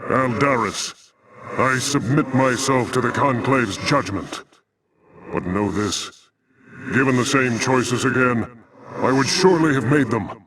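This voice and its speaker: A man's voice: mid-range voice, a bit bright, far from the mic. A man voicing a noble, brave, sorrowful alien templar commander, earnest and formal with impassioned gravity, for a video game. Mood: defiant, resigned.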